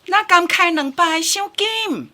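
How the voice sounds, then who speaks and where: A woman's voice: extremely high pitch, animated, a little slow, pushed and bright, full character voice. A woman with a Taiwanese accent acting an astonished, nosy villager in a radio drama. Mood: incredulous.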